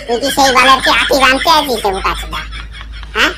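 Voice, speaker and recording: A woman's voice: high voice, expressive, quick pace, loud music behind the voice. A woman voicing crude, teasing young village men in a cartoon comedy, with slangy, coarse banter.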